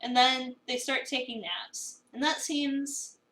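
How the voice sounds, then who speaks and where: A woman narrating a visual novel as an expressive first-person dramatic reading. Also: high voice, takes it slowly. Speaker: narrator, streamer. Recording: roomy, echoey, distant mic.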